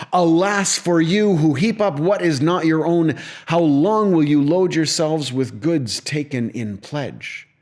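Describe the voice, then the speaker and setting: A man reading scripture aloud as an audio Bible narrator, with solemn, deliberate phrasing and pauses. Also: on the high side.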